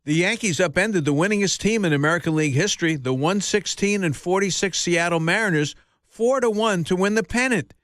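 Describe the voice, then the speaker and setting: Male narrator with a notably high voice for scripted sports documentary, recorded very dry. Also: fairly quick, fairly bright tone, dry recording.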